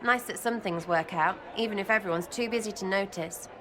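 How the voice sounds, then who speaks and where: A British actress playing a cheeky, blunt young elf rogue in a fantasy game, talking in clipped, irreverent slang. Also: female voice, medium pitch, fairly quick, fairly bright tone, a little hiss or hum, lightly acted. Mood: earnest, gentle. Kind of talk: video game dialogue acting.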